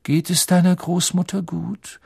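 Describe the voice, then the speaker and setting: Spooky airy lady fortune teller teacher, high n husky, drags words out all dramatic, audiobook style. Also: little pitch movement, a little mellow, no echo, clean studio sound, exaggerated voice. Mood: ominous. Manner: slow, drawn-out, portentous delivery. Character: thin, misty, theatrical female fortune-telling teacher, ominous and airy.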